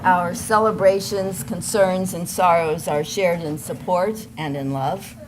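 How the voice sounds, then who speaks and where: Older woman, low voice, congregational announcements, noisy live room recording. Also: fairly even intonation, unhurried. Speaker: worship service speaker. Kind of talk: reading congregational announcements and prayer concerns. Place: church service.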